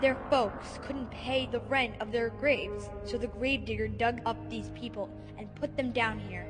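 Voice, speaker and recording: Woman as an earnest, high-voiced young boy. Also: fairly even intonation, moderate pace, lightly acted. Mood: hushed awe. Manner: earnest, boyish, urgent. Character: earnest young boy, brave, excitable leader of friends. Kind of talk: dramatized audiobook acting. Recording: reverberant hall, loud music underneath.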